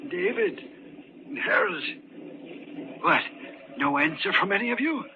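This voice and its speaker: A man's voice: high voice, big pitch swings, unhurried. An actor playing a bitter, menacing old man on his deathbed in an old-time radio horror drama, scornful and domineering in manner. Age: older. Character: bitter, menacing dying old rich uncle. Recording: noisy, dull old radio recording.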